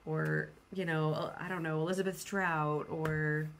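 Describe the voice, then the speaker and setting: A woman's voice: low voice, little pitch movement, unhurried, creaky, slight background noise. A woman talking casually to her viewers as a vlog host, rambling and thinking aloud as she goes. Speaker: host of a book channel.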